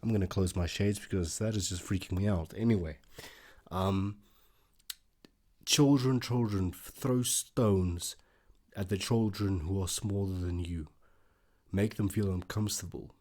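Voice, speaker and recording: Low-voiced man reacting casually. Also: a little slow, warm tone. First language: Afrikaans. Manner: chatty, thinks aloud with many fillers. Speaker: reaction video host.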